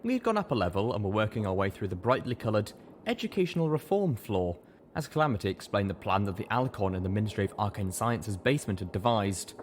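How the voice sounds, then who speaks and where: A man reading a first-person story aloud as an expressive narrator, with lively delivery. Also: fairly low pitch, quick pace, slight background noise. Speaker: narrator of an audiobook fan fiction reading.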